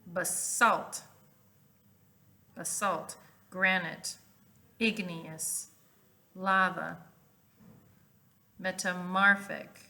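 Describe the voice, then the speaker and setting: Very bright-voiced woman reading to children. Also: lowish voice, fairly even intonation, very slow, pauses often. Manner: clear emphasis, asking listeners questions. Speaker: teacher reading aloud to children. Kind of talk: children's storybook read-aloud with explanatory asides.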